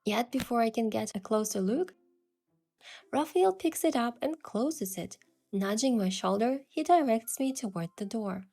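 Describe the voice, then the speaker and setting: A woman voicing a curious young adventurer heroine, telling the story in the first person with earnest, expressive delivery. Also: a little high, measured pace, gravelly, exaggerated voice. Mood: narrating, gentle. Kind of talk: scripted first-person narration and dialogue. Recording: music behind the voice.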